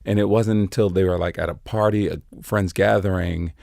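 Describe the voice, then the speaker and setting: Low, gravelly man telling a story. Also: fairly even intonation, a little fast, warm tone, no echo, clean studio sound. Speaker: panel guest. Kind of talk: casual storytelling.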